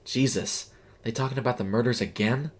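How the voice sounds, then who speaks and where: A man voicing a nervous young woman who works in a fast food kitchen, speaking quietly and hesitantly in an audio story. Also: mid-range voice, husky, pitched above the performer's own voice, close to an everyday voice. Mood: uneasy.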